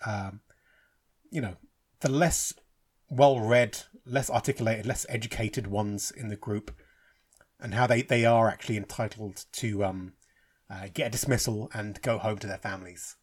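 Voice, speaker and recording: Husky man, English-born, rambling casual film review, frequent ums and restarts. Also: fairly low pitch, a little mellow. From England. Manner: rambling, many fillers and restarts. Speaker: film podcast host.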